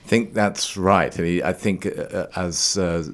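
A middle-aged man speaks in an exceptionally deep voice as an expert interview guest. He is from Trinidad and spent years in Scotland. He explains things in an articulate, measured way. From Trinidad, later Scotland. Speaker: research laboratory director, interview guest.

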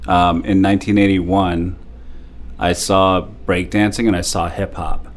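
Man, forties, from Japan, very low voice, laughing storyteller. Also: measured pace, slight background noise. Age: 40s. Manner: animated, laughing, many fillers. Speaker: DJ guest. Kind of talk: casual interview storytelling.

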